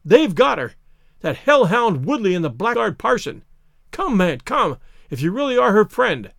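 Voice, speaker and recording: A man voicing a desperate, threatening, fiercely protective male stranger in a storybook reading, shouting and blustering in exclamations. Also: high voice, sing-song, a little fast, no echo, clean studio sound.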